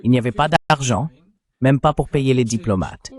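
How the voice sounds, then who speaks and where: A man's voice: medium pitch, animated, normal speed, darkish tone. A man delivering a translated voice-over of an interview, explaining things as a commentator.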